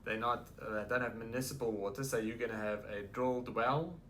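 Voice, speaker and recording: Bright-voiced South African man explaining. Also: medium pitch, fairly even intonation, brisk, a little hiss or hum. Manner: practical, confident, advising with asides. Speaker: real estate sales executive. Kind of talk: explanatory interview answers. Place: real estate office.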